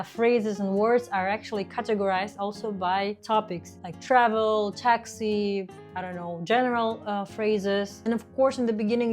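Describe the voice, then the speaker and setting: A woman's voice: medium pitch, a little mellow. Young woman, english speaker from kazakhstan, upbeat and chatty, list-like delivery, faint guitar music underneath. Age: 20s. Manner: upbeat, lists steps, fillers like "I don't know". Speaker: language vlogger. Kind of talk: casual spoken guide to learning a language.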